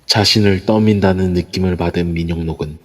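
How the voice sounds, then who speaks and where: Deep husky male narrator in reverb. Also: steady tone, brisk. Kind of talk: scripted audio drama narration. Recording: long reverb throughout.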